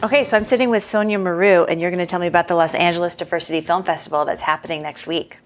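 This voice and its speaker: A woman's voice: on the low side, fairly even intonation, rapid-fire, faint music behind. A woman hosting a podcast, speaking in a conversational interview style.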